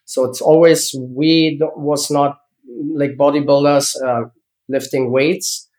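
A man's voice: a little high, level tone, darkish tone. German-accented man in his fifties. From East Germany. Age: 50s. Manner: halting, searching for English words, frequent fillers. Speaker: guest, former gymnast. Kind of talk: interview storytelling.

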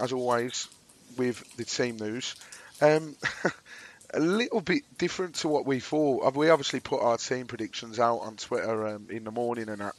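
A hoarse man co-hosting a casual football podcast and running through team news. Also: mid-range voice, low-quality recording. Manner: laid-back, self-deprecating. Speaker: podcast co-host.

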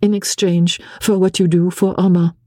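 A reader voicing an anxious young noblewoman who pleads with her husband in quick, nervous speech. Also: mid-range voice, little pitch movement, darkish tone, dry recording, studio quality, voice pushed up, close to an everyday voice. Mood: feverish, pleading. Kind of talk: audiobook character voice.

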